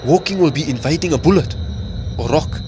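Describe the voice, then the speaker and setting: A man's voice: on the high side, expressive, a little fast, noisy background, close to an everyday voice. A man playing a panicky, cautious young man under fire in an audio drama. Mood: tense, cautious.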